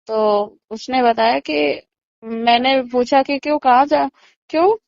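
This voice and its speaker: Woman eyewitness, higher-pitched voice, conversational recounting, muffled phone-call audio, dry sound. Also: slow, creaky, darkish tone, dry recording. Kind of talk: casual recounting of events. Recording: dull lo-fi phone call recording.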